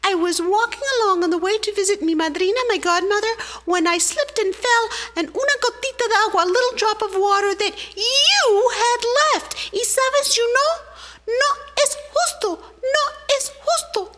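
Shrill furious little ant. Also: female voice, moderate pace, fairly bright tone. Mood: indignant. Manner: shrill, outraged, squeaky. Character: tiny indignant female ant, furious and demanding.

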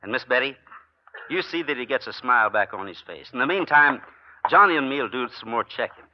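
A folksy, confident cowboy hero, played by a man in 1940s radio acting. His voice swings widely in pitch. The old recording sounds dull and lo-fi. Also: expressive, brisk, natural voice. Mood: reassuring. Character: cowboy hero, calm and sure.

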